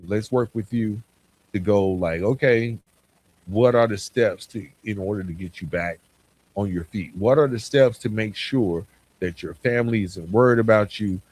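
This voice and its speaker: A man hosting a podcast solo, speaking earnestly and reflectively in a motivational way, often stressing short parallel phrases. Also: mid-range voice. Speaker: podcast host. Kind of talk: reflective solo podcast monologue, partly scripted.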